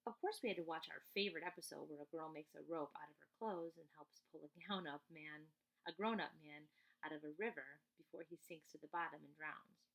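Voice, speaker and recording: Lady teacher reading a storybook to kids, lowish voice, explains stuff casually as she goes. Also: fairly quick, gravelly, fairly bright tone, low-quality recording. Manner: conversational, explains the story to young listeners. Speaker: teacher reading a children's book aloud. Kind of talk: storybook read-aloud with casual asides to listeners.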